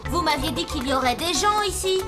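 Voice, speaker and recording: A woman's voice: high-pitched, expressive, brisk. A woman playing a bold, cheeky child in a French cartoon dub. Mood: curious, frank. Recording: loud music behind.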